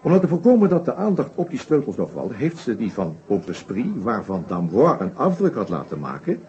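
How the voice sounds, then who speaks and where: Lively, deliberate detective, dull recording. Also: male voice, mid-range voice. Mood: grave, explaining. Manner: explanatory, deliberate, with small hesitations and tags. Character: shrewd, methodical male detective inspector summing up a murder case. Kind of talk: Dutch radio play acting. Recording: dull old radio play recording.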